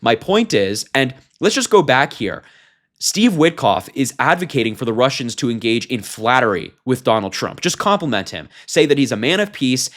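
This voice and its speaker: A man's voice: mid-range voice, sing-song, normal speed, a bit bright. Indignant male political commentator, emphatic delivery. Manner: indignant, emphatic, exclaiming in disbelief. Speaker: political commentary show host. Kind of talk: reading a transcript aloud with opinionated commentary.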